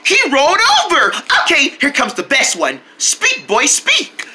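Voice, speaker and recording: Casting: sweet, excitable kindergarten cartoon character, bright and very animated, talks straight to little viewers. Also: female voice, high voice, measured pace, a little hiss or hum, full character voice. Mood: delighted. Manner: exclamatory, sing-song, talking to young viewers. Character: excitable, sweet young kindergartner, friendly kids' show character. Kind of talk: children's cartoon voice acting.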